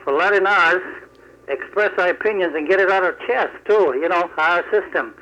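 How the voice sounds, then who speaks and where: Over a muffled, lo-fi telephone line, a man with a fairly high voice phones in to a radio show. He speaks casually and politely, hesitating and repeating words as he goes. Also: normal speed, pushed and bright. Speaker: listener calling in.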